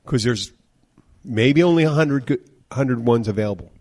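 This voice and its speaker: A man's voice: mid-range voice, normal speed, a little mellow. Male host, 50s, bartender type, loose rambling delivery that slips into quoted voices. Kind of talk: casual chat.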